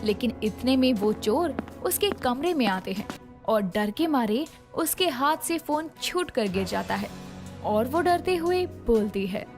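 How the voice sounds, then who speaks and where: A woman narrating a scripted moral story in a dramatic, expressive way, over soft background music. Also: fairly high pitch, measured pace.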